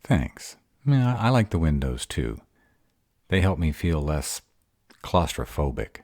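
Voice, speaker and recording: A man's voice: a bass voice, sing-song, slow pace, a little mellow, no echo, studio quality, full character voice. A man playing a grumpy, insecure were-dragon in a romantic roleplay audio drama, hesitant and defensive as he courts his mate. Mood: shy, relieved. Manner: hesitant, defensive, self-doubting.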